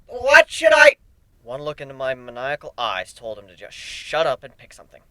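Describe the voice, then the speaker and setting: A man's voice: mid-range voice, a little fast, fairly bright tone, clear, resonant tone, no echo. A man reading a first-person fantasy story aloud as an amateur dramatic narrator. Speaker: amateur fan fiction reader. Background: background music.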